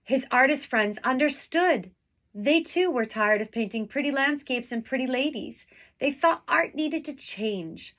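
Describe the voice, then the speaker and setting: A woman reads a storybook aloud in a bright, fairly high voice. She takes her time, phrasing deliberately and dramatically and putting weight on the descriptive words. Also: animated. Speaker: teacher reading a picture book aloud. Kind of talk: expressive storybook read-aloud.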